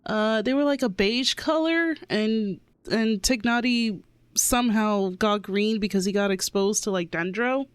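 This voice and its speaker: A woman's voice: mid-range voice, unhurried, smooth, dry recording. A woman giving casual live reactions to a game story as a streamer, chatty, informal and playful. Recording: dry mic, game music behind.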